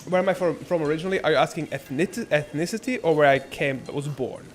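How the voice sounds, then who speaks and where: A man's voice: on the high side, fast talker. A young man born in Norway, chatting casually and rambling on his live stream, swearing freely. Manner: rambling, laid-back, lots of filler and swearing.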